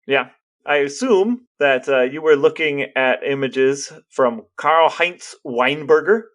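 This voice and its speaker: A man's voice: fairly high pitch, lively intonation, slow pace, a bit bright. A man co-hosting a podcast in casual chat, with a wry, dry sense of humour. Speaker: podcast co-host.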